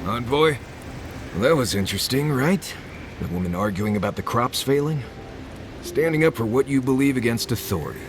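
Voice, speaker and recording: A man's voice: mid-range voice, expressive, moderate pace, close to an everyday voice. A man playing a wry, self-preserving adventuring companion in a video game, talking in an offhand, casual way. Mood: wry amusement. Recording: clear ambient noise behind.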